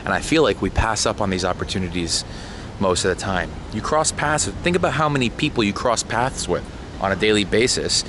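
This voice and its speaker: A laid-back man talks into his camera on a solo road trip, his voice sitting low. He drops in casual slang and the odd curse. Road and street noise comes through clearly behind him. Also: lively intonation, brisk. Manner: laid-back, swears casually, says "bro" and "dude". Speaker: travel vlogger. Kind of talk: casual travel vlog with chat.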